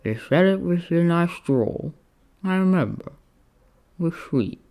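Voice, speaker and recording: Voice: medium pitch, animated, a little slow, mellow, pitched above the performer's own voice. A reader voicing a proud, lovesick Roman general in a story, formal, theatrical and drawn out. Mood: insistent.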